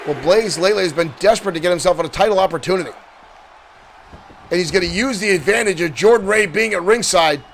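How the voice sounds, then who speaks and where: A man doing excited play-by-play commentary for a digital wrestling show, shouting at big moments and losing his voice. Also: high-pitched, fast, vocal fry, a little hiss or hum.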